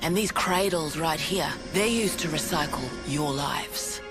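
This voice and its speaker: A woman's voice: deep voice, a little slow. Female resistance officer, earnest, blunt, emphatic, game cutscene, prominent backing music. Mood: grave, intense. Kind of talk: video game cutscene acting. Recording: orchestral game music behind.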